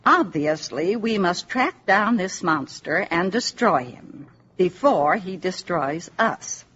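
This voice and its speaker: An actress playing a fretful, nosy boarding-house landlady in a 1950s radio drama, fussy and excitable. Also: fairly low pitch, slow. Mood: alarmed reading. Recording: dull, hissy 1950s radio recording.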